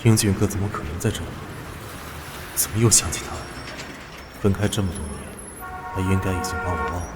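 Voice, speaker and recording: A man's voice: sits low, a little fast, background noise, natural voice. A man playing an obsessive, secretive young male student who is easily flustered, in a comic drama dub. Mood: wistful. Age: young adult. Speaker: character voice in a dubbed comic drama.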